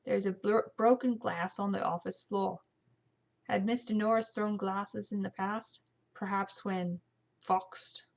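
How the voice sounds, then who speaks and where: A woman's voice: medium pitch, steady tone, slow, gravelly. A woman playing a formal male police officer questioning servants in a period story, with a put-on British accent. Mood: businesslike. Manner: formal, inquisitive.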